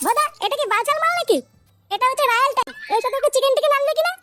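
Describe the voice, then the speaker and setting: A performer voicing a brash, crude, excitable cartoon boy, in a shrill, pitched-up voice. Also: rapid-fire, loud music behind the voice. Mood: brash, excited. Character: foul-mouthed cartoon boy, loud, cheeky, excitable drinking pal. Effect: pitch-shifted up. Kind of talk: comic cartoon dubbing.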